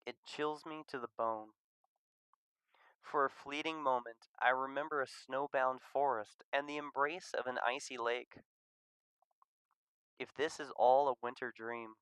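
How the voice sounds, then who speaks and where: A man reading a visual novel aloud as expressive scripted narration. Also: mid-range voice, a little slow, long pauses, smooth, no echo.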